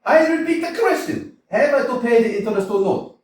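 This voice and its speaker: An actor playing an irate middle-aged landowner hounding a debtor, ranting in a staged reading. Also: male voice, very high-pitched, animated, a little fast. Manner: ranting, exclamatory, swinging between mutters and shouts. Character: irate middle-aged male landowner chasing a debt, blustering. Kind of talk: staged play reading, theatrical. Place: small community theater stage. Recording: echoey theater from far off, faint hiss.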